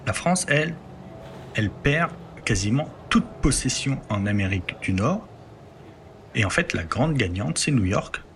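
A man telling history in a conversational podcast, explaining at length and filling pauses as he builds his sentences. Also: medium pitch, a bit bright, a little hiss or hum. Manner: explanatory, leans on filler words while building sentences.

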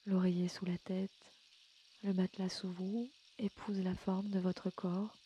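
A woman leading a guided sleep meditation in a gentle, soothing, unhurried way, often slipping into a breathy near-whisper. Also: fairly low pitch, level tone, highs cut off, a little hiss or hum. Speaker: yoga nidra guide and podcast host. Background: music.

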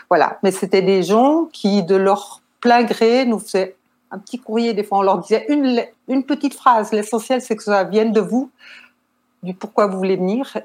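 A woman's voice: lowish voice, a little mellow. A woman in her sixties with a Swiss French accent, a nurse and counselor answering interview questions in a hesitant way, with many fillers and restarts. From Switzerland. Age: 60s. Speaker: guest nurse and marriage counselor.

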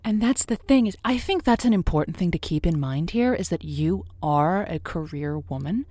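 A woman's voice: lowish voice, lively intonation, normal speed, dark tone, no room sound. A woman playing an effusive, encouraging romance advice host on a radio call-in show, with emphatic phrasing, in a semi-improvised audio drama.

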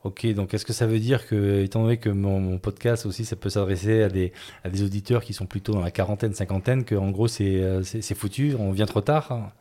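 A man's voice: fairly low pitch, fairly even intonation, a little fast, warm tone. A man hosting a podcast, asking a guest questions in a hesitant way and often searching for words. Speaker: podcast host.

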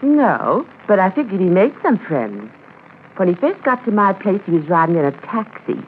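A woman's voice: medium pitch, very animated, normal speed, natural voice. Actress as chatty, swooping, muddle-headed woman witness. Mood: curious, excited. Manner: rambling, gossipy, self-correcting. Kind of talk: 1950s radio drama acting. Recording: tinny, noisy 1950s radio transcription.

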